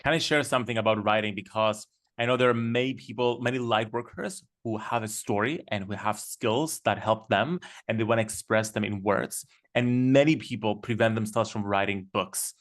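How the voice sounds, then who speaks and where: Enthusiastic man. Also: on the low side. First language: Greek. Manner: enthusiastic, storytelling, quick with fillers. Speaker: guest. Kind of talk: casual podcast conversation.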